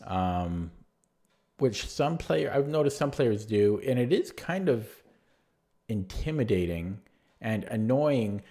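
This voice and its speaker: A man going over one of his own chess games move by move in a relaxed, rambling way, with a voice that is going hoarse. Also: mid-range voice. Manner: rambling, many fillers and restarts. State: losing his voice. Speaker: chess player reviewing his own game. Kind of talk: casual spoken chess analysis.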